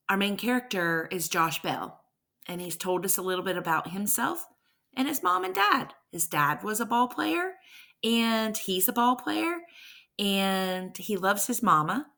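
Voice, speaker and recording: A woman's voice: mid-range voice, creaky, bright, forceful tone. A woman teacher reading a verse story aloud with rhythmic, emphatic delivery and brief explanations.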